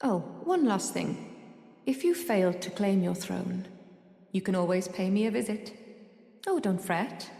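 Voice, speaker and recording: A woman playing a proud, ambitious sorceress teacher in a video game, speaking fondly to an apprentice in measured, formal, archaic phrases. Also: mid-range voice, animated, creaky, warm tone. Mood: fond, stately. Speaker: video game character voice actor. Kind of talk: scripted game dialogue. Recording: echoey hall-like reverb.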